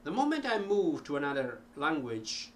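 A middle-aged man with an Italian accent, talking casually to a webcam about learning languages, slow and full of ums and restarts. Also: a little high, huge pitch swings, a little hiss or hum. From Italy. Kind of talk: casual talk to camera. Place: cluttered home music room.

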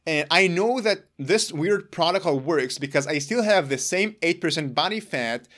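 A man's voice: fairly high pitch, animated, moderate pace, fairly bright tone. A man in his thirties whose first language is Hebrew, earnestly explaining his own exercise routine to camera, gesturing as he talks. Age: 30s. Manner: earnest, gestures with his hands while talking. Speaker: wellness channel host. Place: indoor room in front of gray curtains.

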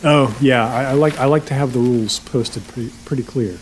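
A nervous, awkward man who runs a guesthouse, played by a male actor, speaks in a medium-pitched voice. He stammers and apologises in halting, natural audio-drama style, over noticeable background noise. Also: natural voice. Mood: awkwardly friendly.

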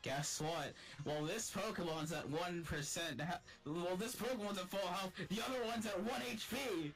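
A man's voice: high-pitched, normal speed, a bit bright. A young man playing a game and bantering casually over voice chat. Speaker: game player. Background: game music.

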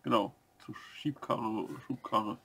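A man co-hosting a building-set review, explaining the features step by step in a relaxed casual way. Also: on the low side, slow pace, creaky, husky. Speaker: co-host of a brick-building review channel.

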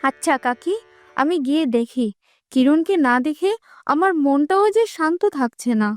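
A woman's voice: high voice, animated, moderate pace, no room sound, studio quality, soft background music. A woman playing a protective young village girl in a cartoon, emphatic and bossy in a familiar way. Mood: worried. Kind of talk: Bengali fairy-tale cartoon dubbing.

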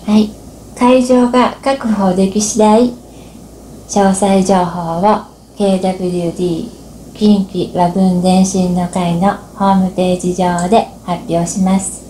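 A woman's voice: mid-range voice, flat delivery, measured pace, mellow. Middle aged lady mc, polite n chatty, roomy echo with a bit of noise. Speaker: host, MC. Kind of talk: scripted presenting. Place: small room at home, indoors. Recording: roomy echo, faint background hiss.

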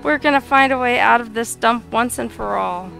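A woman voicing a brash, warm-hearted female warrior fish creature in a blunt, informal way for a video game. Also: on the high side, moderate pace, creaky, faint music behind. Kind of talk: video game dialogue reading.

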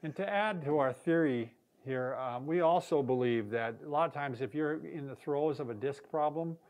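A man's voice: on the high side, moderate pace, lo-fi sound. An American man in his fifties chatting casually as a physical therapist co-host, with jokey interjections. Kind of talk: casual chat. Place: indoor therapy room.

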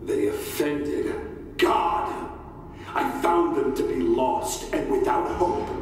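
A man's voice: mid-range voice, animated. A man playing a fanatical, devout soldier prisoner in a game audio log, preachy, solemn and menacingly certain. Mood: zealous. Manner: preachy, solemn, slow and deliberate. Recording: echoey hall from far off, faint noise.

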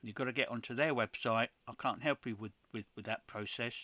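A man's voice: medium pitch, fairly even intonation, fairly quick, sharp tone, dry recording, lo-fi sound. A man from the UK hosting a ham radio channel, walking viewers through a setup step by step in a practical tutorial, with frequent restarts. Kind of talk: screen-recorded tutorial.

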